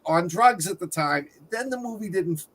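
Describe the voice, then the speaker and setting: A man's voice: sits high, lively intonation, moderate pace, fairly bright tone. A man co-hosting a film review show, talking casually with the other panelists. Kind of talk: casual panel chat about films.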